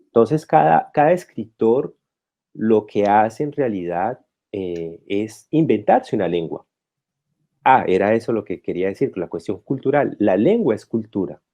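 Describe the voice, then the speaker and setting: Colombian man, guest writer, low and mellow, thoughtful and halting, muffled call audio. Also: animated. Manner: reflective, halting with restarts. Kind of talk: conversational talk.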